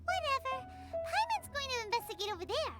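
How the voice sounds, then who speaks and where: A woman voicing a tiny, childlike flying companion girl in a video game, bossy and excitable. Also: very high voice, brisk, loud music behind the voice. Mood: bossy, pert. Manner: childlike, refers to self in third person.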